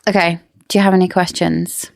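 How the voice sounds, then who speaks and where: A woman's voice: lowish voice, level tone, fairly quick, gravelly, a little mellow, no room sound, studio quality. A woman co-hosting a casual book discussion podcast, explaining and arguing her points in an opinionated way. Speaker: podcast co-host.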